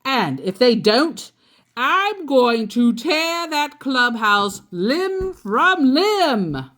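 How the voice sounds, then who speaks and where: Woman putting on a blustering, animated papa bear. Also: sits high, drawn-out words, pitched above the performer's own voice, full character voice. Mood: indignant. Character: indignant, protective father bear, blustering and eager to help.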